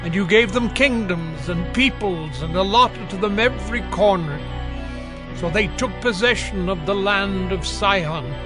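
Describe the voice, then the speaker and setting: Slow, high-voiced solemn Levite. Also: male voice. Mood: solemn confession. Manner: solemn, declamatory. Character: devout ancient Levite praying solemnly aloud before the people. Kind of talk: audio Bible drama. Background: music.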